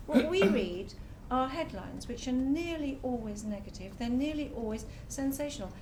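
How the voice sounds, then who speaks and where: A woman on a discussion panel, her voice sitting fairly high. She argues her point in an earnest, measured way. A faint low rumble runs behind her. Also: lively intonation. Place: public talk venue.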